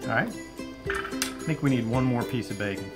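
A man in his fifties hosting a casual outdoor cooking demo, relaxed and chatty, often saying "all right", recorded on a camera mic on a patio. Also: mid-range voice, normal speed, over loud music. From Florida. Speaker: outdoor cooking channel host. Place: outdoor covered patio at a table.

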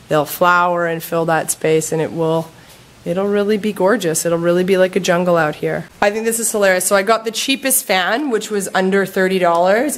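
A woman in her thirties chatting casually as she walks through her house, filming on a handheld phone and using lots of fillers. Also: fairly low pitch. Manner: chatty, upbeat, lots of fillers like "like" and "you know". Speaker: vlogger giving a home tour. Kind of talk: casual house tour walkthrough. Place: house with open patio in a warm climate. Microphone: handheld phone camera, often at arm's length.

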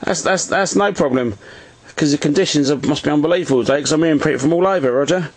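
A man talks in radio jargon at his home set, recorded on a nearby camera. His voice is slightly high, with faint noise behind it. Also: fast talker. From England. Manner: uses radio jargon, often repeats "Roger". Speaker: amateur CB radio operator filming his contacts. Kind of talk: casual radio contact chat. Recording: faintly noisy phone video beside radio.

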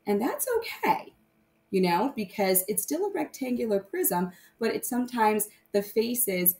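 A woman's voice: mid-range voice, expressive, unhurried, darkish tone. A woman teacher explaining things informally to young children, often checking in with short tag questions. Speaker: primary math teacher.

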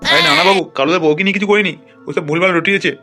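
A man's voice: high voice, fairly even intonation, rapid-fire, pushed and bright, faint music behind. Man playing a stammering, sheepish cartoon widower. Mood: sheepish pleading. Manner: stammering, evasive, servile. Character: sheepish, pleading lecherous village widower caught out. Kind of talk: comic cartoon dialogue.

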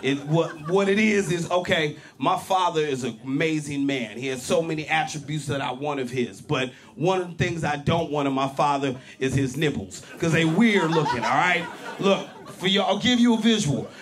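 A man in his forties from Berkeley, California, doing a profane, animated stand-up set on a club stage with a handheld mic. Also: on the high side, moderate pace. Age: 40s. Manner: loud, animated, profane punchlines with shouted peaks. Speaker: stand-up comedian. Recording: live club with crowd laughter. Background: audience laughter.

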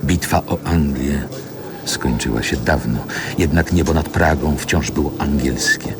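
An actor playing a nostalgic narrator in a radio play, measured, lyrical and reflective. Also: male voice, rumbling low, a crowd in the background. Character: male narrator, nostalgically recalling a Warsaw boyhood. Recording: music behind the narration.

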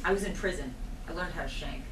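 A woman's voice: sits low, fast talker, a loud crowd, close to an everyday voice. A woman playing a tough, scolding, loyal ex-convict barista in a live comedy table read. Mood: menacing, dry. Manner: tough, indignant. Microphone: far camera microphone. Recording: distant echoey noisy stage recording.

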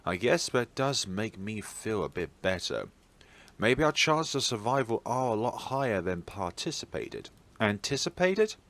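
A man with a fairly low voice narrates a horror game as a let's play host. His pitch moves around a great deal, and he reads theatrically, with wry, playful commentary. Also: very animated, unhurried, fairly bright tone. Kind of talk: playful gaming commentary and expressive read-aloud of a visual novel.

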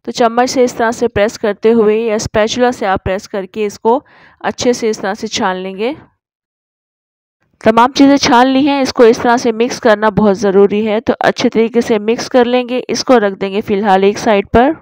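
A woman's voice: fairly high pitch, steady tone, moderate pace, darkish tone, dry recording. A woman narrating a recipe tutorial as a voice-over, explaining each step in an instructive way. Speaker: cooking channel host.

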